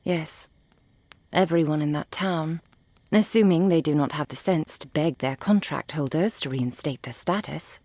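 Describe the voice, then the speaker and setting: A woman acting a composed, refined, cold-hearted merchant in an ASMR roleplay, with formal, precise, measured diction. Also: low voice, warm tone, dry recording. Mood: cool, matter-of-fact. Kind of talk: ASMR roleplay audio drama.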